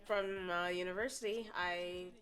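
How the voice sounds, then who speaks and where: A woman's voice: fairly low pitch, fairly even intonation, a little slow, a bit bright, soft background music. A young woman from the Bahamas, a streamer guest chatting casually in an interview, laughing often and swearing freely.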